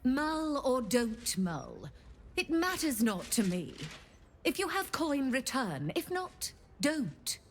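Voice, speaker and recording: An actress playing a sly infernal fixer who runs an occult shop in a video game, speaking in an arch, theatrical, deliberate way. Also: female voice, high voice, big pitch swings, slight background noise, full character voice. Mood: businesslike, haughty. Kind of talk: video game character acting.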